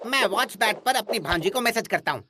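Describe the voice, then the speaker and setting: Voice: medium pitch, lively intonation, fairly quick, noisy background, natural voice. An actor voicing a cheerful, chatty, scatterbrained male bat in a cartoon dub. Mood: cheerful, helpful. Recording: music behind the voice.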